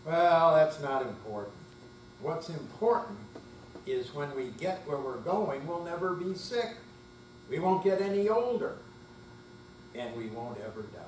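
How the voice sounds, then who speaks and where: A man's voice: on the high side, sing-song, unhurried, long pauses, a little mellow, voice pushed up. A man playing a tender, wistful old grandfather with plain, folksy phrasing on stage. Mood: earnest, hesitant. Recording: distant, echoey room with faint mains hum.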